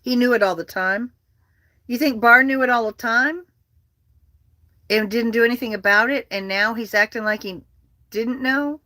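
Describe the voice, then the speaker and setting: A livestream host chatting casually and rambling, with an animated, profane manner and a habit of repeating phrases. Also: medium pitch, normal speed, pauses often, dry recording.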